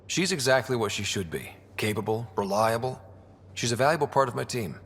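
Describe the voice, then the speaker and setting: A man's voice: medium pitch, lively intonation, a little fast, a bit bright, long reverb tail. A man playing an earnest, capable young expedition leader in a science fiction video game, straightforward in manner. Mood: friendly, steady.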